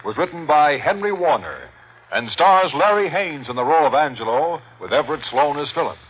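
An actor as a formal announcer introducing a 1940s radio mystery. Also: male voice, on the high side, lively intonation, a little fast, close to an everyday voice. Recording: dull, very noisy old radio broadcast.

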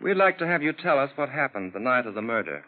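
A man's voice: medium pitch, sing-song, fast, lightly acted. An actor as a businesslike lawman, muffled old radio. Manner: matter-of-fact, authoritative. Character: brisk, businesslike male legal official, mildly exasperated. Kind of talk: 1940s radio drama acting. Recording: old lo-fi radio drama recording.

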